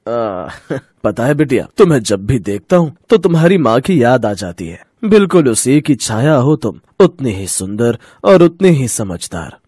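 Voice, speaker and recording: An actor playing a kindly, humble village potter in a cartoon story, an affectionate father with a husky voice. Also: mid-range voice, big pitch swings, unhurried, dry recording, studio quality. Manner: affectionate, gentle.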